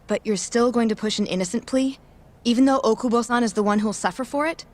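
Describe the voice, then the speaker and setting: An actress playing a polite, concerned young hospital worker in a video game drama, speaking with restraint. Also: female voice, fairly high pitch, fast talker, close to an everyday voice.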